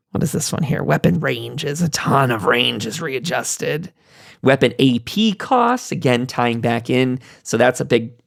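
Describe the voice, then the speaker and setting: A man with a heavily creaky, gravelly voice hosts a casual panel stream. He reads notes aloud and drifts into offhand, rambling comments. The sound is close and dry. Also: fairly high pitch, no echo. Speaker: podcast host and panel moderator. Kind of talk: casual livestream roundtable, reading and commenting on notes.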